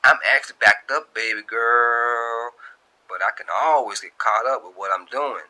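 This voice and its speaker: A man's voice: mid-range voice, measured pace, pushed and bright, voice pushed up, poor audio. A man voicing a laid-back, teasing young man in love, with easygoing colloquial talk in an audio story. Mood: lazy teasing. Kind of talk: acted dialogue in a narrated story.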